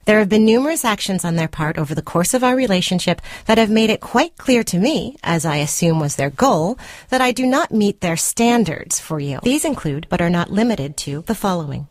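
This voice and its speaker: A woman's voice: lowish voice, a little mellow, dry recording, close to an everyday voice. A woman playing a controlling, self-righteous spurned girlfriend, reading her formal letter in a prim, clinical and condescending manner, as a radio short story. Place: radio studio.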